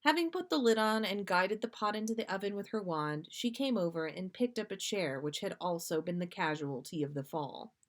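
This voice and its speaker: Woman reading a story aloud, medium pitch. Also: lively intonation, fairly quick, hardly any pauses. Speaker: podcast host reading listeners' stories.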